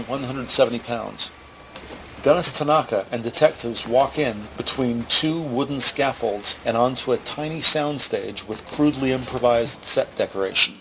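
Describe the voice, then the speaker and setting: Bright-voiced male crime drama narrator. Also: medium pitch, slight background noise, rough recording. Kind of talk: scripted present-tense crime drama narration. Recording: faint music behind.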